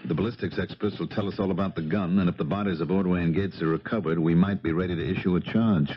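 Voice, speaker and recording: A deep, husky police lieutenant. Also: male voice, steady tone. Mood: measured, doubtful. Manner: measured, matter-of-fact questioning. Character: police lieutenant, calm, probing, skeptical man. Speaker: radio drama actor. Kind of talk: 1970s radio drama acting. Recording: muffled vintage radio broadcast recording.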